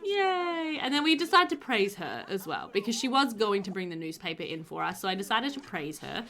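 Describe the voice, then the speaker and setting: A woman's voice: medium pitch, big pitch swings, brisk, a bit bright. A woman in her thirties with a slightly stuffy nose, chatting casually through a headset as she narrates her life-sim game. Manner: chatty, rambling, laughs often. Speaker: gaming channel host. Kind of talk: casual gameplay voiceover.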